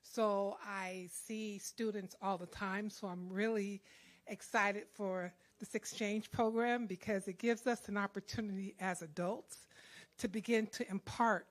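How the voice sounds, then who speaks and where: An older woman, a high school counselor and grandmother, sharing earnestly and a bit nervously at a book club. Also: fairly even intonation, measured pace. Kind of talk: personal sharing. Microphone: handheld mic.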